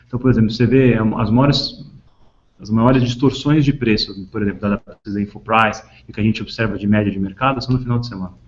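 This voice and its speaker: A man's voice: lowish voice, fairly even intonation, quick pace. A man with a Brazilian accent explaining business matters as a panelist on a conference call, hesitating and repeating words. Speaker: panelist answering questions. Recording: dull lo-fi conference call audio.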